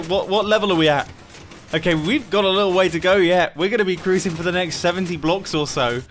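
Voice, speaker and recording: A young man giving casual, excitable commentary over a video game, laughing often and joking about himself. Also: sits high, a little hiss or hum. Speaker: gaming YouTuber.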